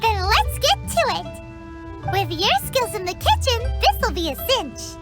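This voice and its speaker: A woman voicing a tiny childlike girl companion from a video game, squeaky, chirpy, blunt and greedy. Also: wildly swooping pitch, measured pace, full character voice. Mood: excited. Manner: speaks of herself in third person. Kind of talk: video game character acting. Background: game music.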